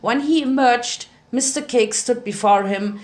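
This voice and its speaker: A woman's voice: on the high side, unhurried, darkish tone. A woman narrating fan fiction as a dramatic reading. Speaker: story narrator.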